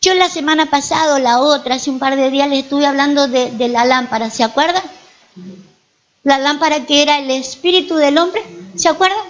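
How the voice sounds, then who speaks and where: A woman's voice: high voice, a little fast, muffled recording. A woman pastor with an Argentine accent, preaching a sermon in church and telling stories with frequent rhetorical questions to the congregation. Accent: Argentine Spanish.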